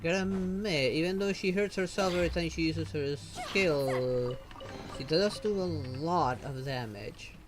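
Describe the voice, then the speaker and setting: A woman's voice: low-pitched, a little slow, music in the background. A woman streaming a video game with casual, off-the-cuff commentary. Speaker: gaming streamer.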